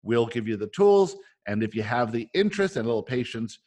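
A man's voice: mid-range voice, very animated, brisk, darkish tone. A man hosting a discussion in a casual, explanatory way, as if thinking his points through aloud. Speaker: host of a book club discussion. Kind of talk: casual spiritual self-help discussion with some reading aloud.

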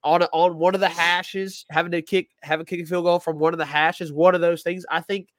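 A man with a slightly high voice, nasal and congested from a cold, chatting loosely about football. He rambles, restarts his sentences and thinks out loud. The sound is close and dry. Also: little pitch movement, fairly quick, no room sound. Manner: rambling, self-correcting, thinking aloud. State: stuffy from a cold. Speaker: podcast co-host. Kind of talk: casual sports talk.

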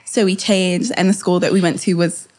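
Young woman guest, reflective and halting. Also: lowish voice, fairly even intonation, darkish tone. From Kenya. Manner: reflective, halting with fillers and restarts. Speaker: guest filmmaker. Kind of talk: casual interview chat.

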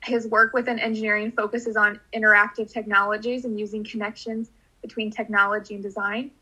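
A young woman from Missouri co-hosts a podcast in a bright, slightly breathy voice. She sounds friendly and conversational, and she uses a few fillers. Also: mid-range voice, level tone, a little fast, rough recording. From outside St. Louis, Missouri. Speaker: podcast co-host, graduate student. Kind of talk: podcast co-hosting.

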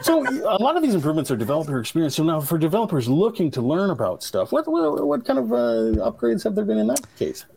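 A Canadian man with a Canadian accent co-hosting a podcast and joking at his own expense. He talks fast with a lively, bouncing pitch and a gravelly rasp. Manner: joking, self-deprecating. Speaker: podcast co-host. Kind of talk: casual podcast chat.

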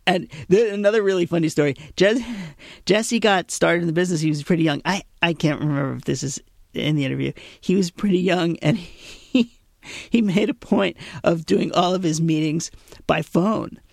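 A man's voice: fairly high pitch, normal speed, vocal fry, no room sound, studio quality. A man co-hosting a podcast, chatting casually, laughing often and restarting his sentences. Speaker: podcast co-host.

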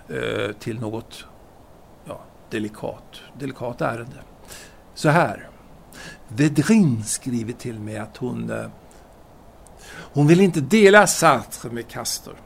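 Gravelly, musing man of letters played by an actor. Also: fairly high pitch. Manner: hesitant, musing, formal. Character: self-satisfied, evasive French writer serving as wartime soldier. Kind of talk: audio drama monologue, letter read aloud.

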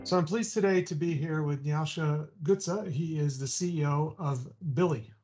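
A man's voice: fairly high pitch, moderate pace, darkish tone, faint music behind. A man hosting an interview, asking conversational questions that often restart mid-sentence. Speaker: podcast host. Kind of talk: interviewing a guest. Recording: dull lo-fi audio.

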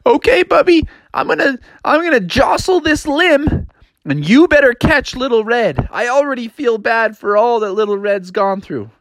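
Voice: mid-range voice, lively intonation, moderate pace, no room sound, voice pushed up, lightly acted. A storyteller voicing Sister Bear, a timid young girl bear who sounds fearful and cries out in alarm. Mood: anxious. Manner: fearful and breathless, crying out.

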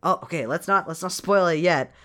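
A man's voice: on the high side, a little fast, sharp tone. A man co-hosting a podcast, recapping a movie in casual chat, wry and profane, reading from his notes. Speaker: podcast co-host.

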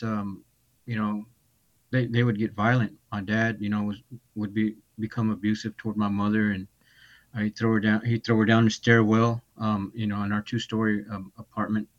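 A man from Texas telling his life story as a podcast guest over a video call, speaking haltingly with many restarts. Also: on the low side, dull audio. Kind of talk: personal testimony.

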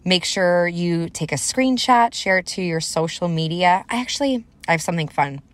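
A woman's voice: fairly low pitch, expressive, normal speed. A woman in her thirties hosting a solo motivational podcast, speaking straight to her listeners in an encouraging, conversational way. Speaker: podcast host.

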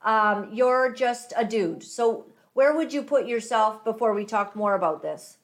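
A woman host with a somewhat high voice, talking in a chatty, eager way. She laughs often and gushes with enthusiasm. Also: unhurried. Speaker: podcast host. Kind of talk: interview chat.

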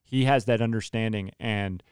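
A young man talks through a personal story in an easygoing podcast conversation, and his voice comes across smooth and resonant. He is earnest and restarts his sentences often. The sound is close and dry, with no room around it. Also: fairly low pitch, fairly even intonation, normal speed, dry recording. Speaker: worship leader. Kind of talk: casual podcast chat.

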